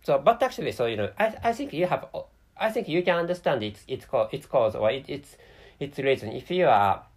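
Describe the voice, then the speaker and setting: Japanese-accented male podcaster, non-native English, plodding, self-correcting, lively intonation. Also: mid-range voice. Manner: halting, many restarts and self-corrections. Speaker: podcast host. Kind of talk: solo podcast monologue.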